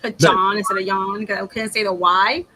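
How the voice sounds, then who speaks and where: A woman's voice: mid-range voice, steady tone, moderate pace, bright, forceful tone. A woman whose first language was Spanish, chatting in an easygoing way on a podcast. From Dominican family, grew up in the US. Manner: easygoing, laughs between remarks. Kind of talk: casual chat.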